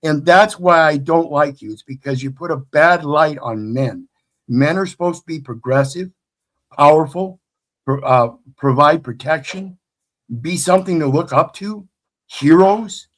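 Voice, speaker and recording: A man's voice: fairly high pitch, unhurried, poor audio. A man on a discussion panel, talking bluntly and emphatically in a preachy way. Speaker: panel guest. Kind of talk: casual panel talk.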